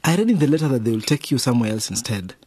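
An actor playing a gentle, affectionate middle-aged father in a radio drama, talking tenderly and consolingly. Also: medium pitch, expressive, rapid-fire, slightly rough. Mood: soothing.

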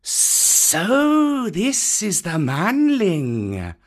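A man reading a storybook aloud, voicing a huge old python who talks slowly and slyly. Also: high-pitched, huge pitch swings, pitched above the performer's own voice, exaggerated voice. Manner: slow, drawn-out, sly. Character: huge old python, slow and menacing, sly.

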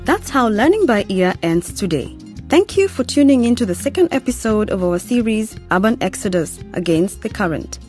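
A woman's voice: medium pitch, big pitch swings, moderate pace, darkish tone. A woman reading a scripted outro as the announcer of a radio series. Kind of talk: scripted narration, programme outro. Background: music.

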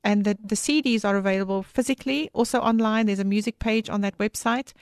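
A woman whose first language is Afrikaans, a guest author explaining her work in a slightly halting way. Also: medium pitch, steady tone, no room sound, studio quality. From South Africa.

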